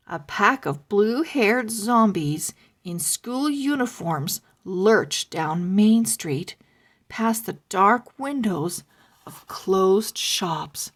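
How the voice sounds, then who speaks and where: A woman reading a children's story aloud as narrator, expressive and full of suspense. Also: mid-range voice. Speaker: storybook reader.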